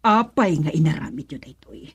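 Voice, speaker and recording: A woman's voice: low-pitched, expressive, brisk, vocal fry, breathy edge, full character voice. A woman with an Ilocano accent playing an aggrieved, distrustful wife in a radio drama. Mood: angry.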